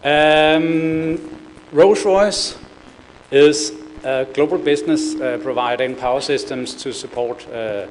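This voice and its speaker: A man's voice: fairly high pitch, slow, background noise. A middle-aged man from Denmark with a Danish accent, giving a conference presentation with frequent fillers and restarts. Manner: hesitant, many fillers and repeated words. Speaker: conference speaker. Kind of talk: business presentation. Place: conference room.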